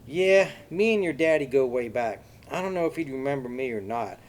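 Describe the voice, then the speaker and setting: A man voicing an older graying man with an exaggerated Texas accent, folksy and courteous but quietly threatening. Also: medium pitch, lively intonation, a little fast, slight background noise, voice pushed up, natural voice. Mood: polite menace. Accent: exaggerated Texas drawl.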